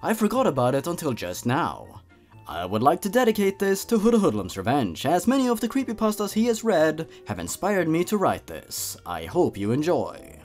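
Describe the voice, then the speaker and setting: A man's voice: fairly high pitch, huge pitch swings, moderate pace, a little mellow, faint music behind. A man hosting a comedic story reading, theatrical and amused in his reactions. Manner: theatrical, amused, chuckles between lines. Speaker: host of a creepypasta reading channel.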